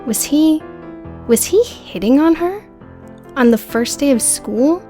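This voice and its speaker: A woman's voice: sits high, animated, slow pace, dark tone, soft background music. A woman narrating an audiobook story in a scripted, dramatic storytelling style.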